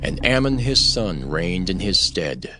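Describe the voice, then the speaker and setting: A man's voice: sits low, big pitch swings, a little slow. A man narrating scripture from a script in a solemn style, as the narrator of a dramatized reading. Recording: loud music behind the voice.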